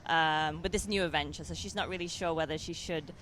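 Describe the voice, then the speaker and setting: Brief young English woman director, lower voice, hesitant interviewee, faint noise behind. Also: steady tone, fairly quick, fairly bright tone. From England. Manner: hesitant, many fillers and restarts. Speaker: film director. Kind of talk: interview answers.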